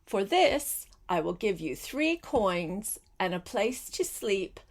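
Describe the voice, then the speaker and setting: A woman voicing a firm, kindly old village witch from a storybook, speaking in a deliberate, instructing way. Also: mid-range voice, big pitch swings, heavily acted.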